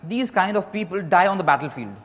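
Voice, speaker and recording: A man's voice: sits high, animated, brisk. An Indian-accented man playing a candid, evasive writer in a dramatized stage reading. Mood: opinionated. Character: Mriganko, candid, evasive male writer and lover. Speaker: actor. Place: large hotel ballroom stage, indoors. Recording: echoey hall stage recording.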